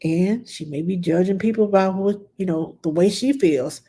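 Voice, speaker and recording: A woman's voice: lowish voice, moderate pace, warm tone, breathy edge. A middle-aged woman with a stuffed-up nose, chatting plainly and bluntly as a nanny answering viewer questions on a live stream.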